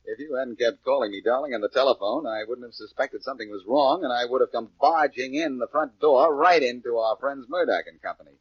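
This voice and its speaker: An actor playing a wry, self-assured adventurer, cocky and commanding, in a 1940s radio detective drama. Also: male voice, medium pitch, fairly quick, hardly any pauses, husky, no room sound, lightly acted. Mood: amused, relaxed. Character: wry, self-assured male adventurer, tough and quick-witted. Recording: dull, lo-fi old radio recording.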